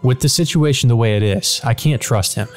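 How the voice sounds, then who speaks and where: A man's voice: medium pitch, fairly quick, faint music behind. A man reading a visual novel aloud as dramatic scripted narration.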